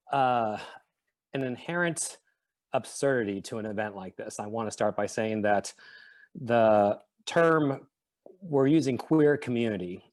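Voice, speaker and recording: A man hosting a live online panel, speaking in an earnest, measured way on a video call. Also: mid-range voice. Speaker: event host.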